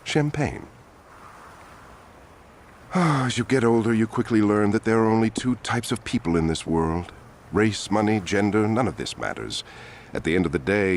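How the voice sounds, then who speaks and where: An actor playing a smug, scheming male politician villain in a video game cutscene, smooth and condescending. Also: sits low, a little hiss or hum. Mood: gloating. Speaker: corrupt politician.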